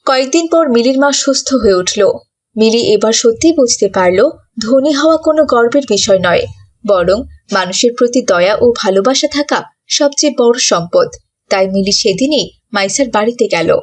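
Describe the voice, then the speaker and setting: Female narrator for a children's tale, pitched high, scripted delivery. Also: lively intonation, a little fast.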